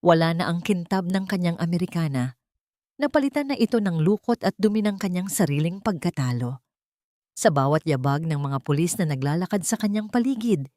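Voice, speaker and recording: Woman storyteller, lower voice, dramatic and measured, in a dry booth sound. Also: darkish tone, dry recording. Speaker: narrator.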